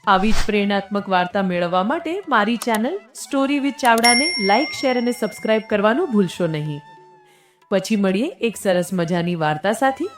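A woman narrating a scripted moral tale as storyteller and host, in a measured, earnest manner. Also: mid-range voice, lively intonation. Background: background music.

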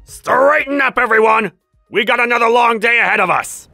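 An actor playing a bullying middle-aged mine foreman, barking in a gruff, domineering way. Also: high voice, sing-song, measured pace, sharp tone, no echo, soft background music, full character voice. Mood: angry barking. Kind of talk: anime dub acting.

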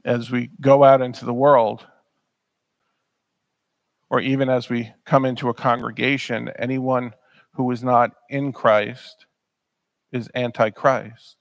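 A man's voice: mid-range voice, little pitch movement, takes it slowly, gravelly, long pauses, no room sound. A man in his thirties preaching a sermon in a church, earnest and emphatic, often repeating words as he presses a point. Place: church sanctuary, speaking at a pulpit.

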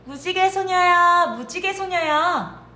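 A woman voicing a kind, comforting cloud in a children's storybook tale, calling out gently. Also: very high-pitched, slow pace, pitched above the performer's own voice, heavily acted. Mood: encouraging, calling. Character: kind, comforting, self-sacrificing cloud spirit. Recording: roomy echo, music underneath.

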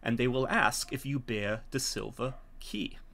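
A man's voice: mid-range voice, expressive, unhurried, gravelly, a bit bright. A middle-aged man speaking as host and game master, explaining a scenario over a video call from home.

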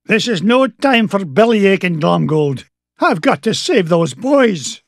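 An actor playing a crusty, determined elderly duck tycoon in a cartoon game, crotchety and emphatic, with a Scottish accent. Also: male voice, high voice, sing-song, slow, full character voice. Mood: indignant.

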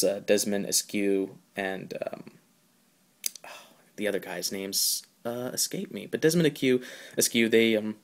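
A man hosting a podcast, giving a casual, informal solo film review in a halting way. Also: fairly low pitch.

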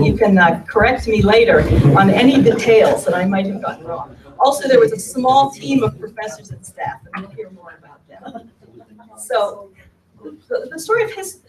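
A woman giving a conversational talk from a lectern to an audience in a meeting room, often repeating words as she goes. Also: mid-range voice. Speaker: speaker giving a history talk. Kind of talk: presentation at a lectern. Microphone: far camera at the back of the room. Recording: roomy hall from far off, faint hum.

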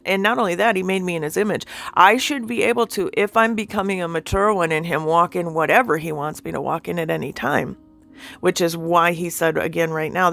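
A woman's voice: lowish voice, gravelly, a bit bright. A woman with a nasal, sinusy voice giving an informal spiritual teaching. She talks earnestly and keeps checking in with the listener.

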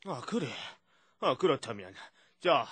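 A man's voice: medium pitch, big pitch swings, slow pace, a bit bright, slightly rough, no room sound, full character voice. A man voicing a dignified, trusting middle-aged king and father in a children's puppet show, with stately old-fashioned speech. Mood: concerned, pondering.

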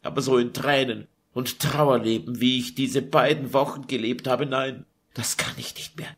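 A man's voice: medium pitch, steady tone, normal speed, a bit bright, pitched above the performer's own voice, natural voice. A man voicing an eighteen-year-old grieving duchess who pleads and laments through sobs. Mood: despairing. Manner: sobbing, pleading, breathy lament.

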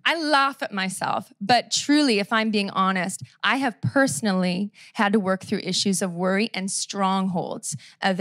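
A woman in her thirties with a Canadian accent, preaching on a church stage into a handheld mic, conversational and self-deprecating, slowing down to read scripture. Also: mid-range voice, a bit bright, dry recording, clean studio sound. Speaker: pastor. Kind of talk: sermon. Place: church stage before a seated audience. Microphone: handheld microphone.

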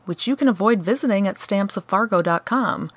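A woman's voice: medium pitch, a little fast, no room sound, lightly acted. A woman playing a sardonic, exasperated scheming show host in a comedic radio play. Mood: wry. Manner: sarcastic, grumbling.

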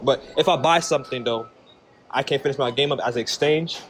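A young man in casual prank-vlog chat with restaurant staff, pleading and animated, often saying "bro", recorded on a handheld camera in a fast food restaurant. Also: mid-range voice, a little hiss or hum. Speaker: prank vlogger.

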